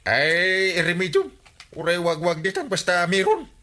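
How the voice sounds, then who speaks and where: An actor playing a bossy, excitable village captain in a comedy radio drama, scolding and insisting with an Ilocano accent. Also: male voice, high voice, unhurried, heavily acted. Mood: insistent, bossy.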